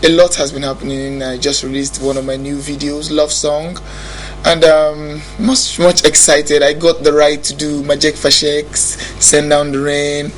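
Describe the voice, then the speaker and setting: A man's voice: fairly high pitch, flat delivery, unhurried, slight background noise. A young Nigerian man with a Nigerian accent, a musician talking casually in an interview, reflective, often restarting his sentences. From Nigeria (Bayelsa State). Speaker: musician guest.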